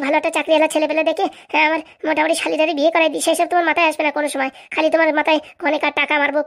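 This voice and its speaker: Casting: woman to play domineering cartoon wife, high creaky voice, relentless scolding. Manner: scolding, accusatory, rapid-fire haranguing. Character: bossy, nagging, domineering wife of a village politician. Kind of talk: comic cartoon voice acting.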